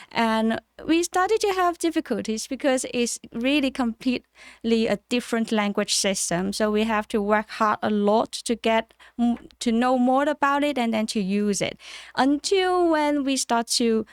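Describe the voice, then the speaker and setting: A woman from China with a Chinese accent and Cantonese as her first language, answering interview questions in a measured way with frequent ums and pauses. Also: on the high side, smooth, no room sound, clean studio sound. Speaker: interview guest, MBA student. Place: in person at a summit.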